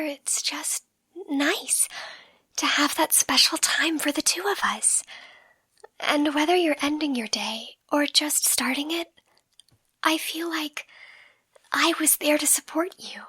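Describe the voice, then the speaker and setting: A soft, breathy, often whispering catgirl girlfriend, acted by a woman, lulls her partner toward sleep in an ASMR bedtime roleplay. Her high voice moves very slowly, tender and reassuring. It is recorded dry and close to the microphone. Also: no room sound. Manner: tender, gentle, unhurried, reassuring. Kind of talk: ASMR bedtime roleplay and slow storybook reading. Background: soft music.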